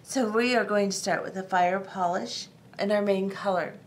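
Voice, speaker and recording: A middle-aged woman hosting a step-by-step beading tutorial, talking calmly and methodically with frequent ums. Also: mid-range voice, moderate pace, a little hiss or hum. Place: tabletop craft workspace, indoors.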